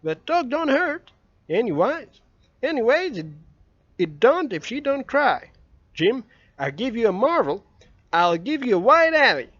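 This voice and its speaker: Guy putting on a sly little boy, high and bouncy, all wheedling and bargaining, dull dry sound. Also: big pitch swings, unhurried, long pauses, a bit bright, no echo, heavily acted. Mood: coaxing. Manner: eager, persuasive, bargaining. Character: scheming young boy, coaxing and wheedling.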